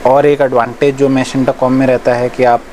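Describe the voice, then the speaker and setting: A man's voice: medium pitch, flat delivery, fairly quick, a little mellow. A man from India giving a casual, explanatory product demonstration, mixing English words into his talk. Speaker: shop presenter reviewing riding gear. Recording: clear background noise, vehicle sounds.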